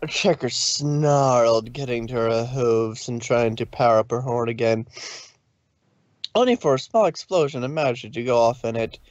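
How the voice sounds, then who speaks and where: Mid-pitched man reading a story, slightly dull sound. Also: normal speed, no room sound. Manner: loose, stumbles and corrects himself. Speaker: narrator. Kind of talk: informal fan fiction reading.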